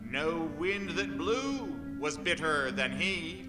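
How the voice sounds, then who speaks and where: High-voiced man narrating in echoey hall. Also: animated, slow. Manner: theatrical, emphatic phrasing. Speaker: radio play narrator and announcer. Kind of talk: old-time radio announcing and narration. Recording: echoey live hall, music behind.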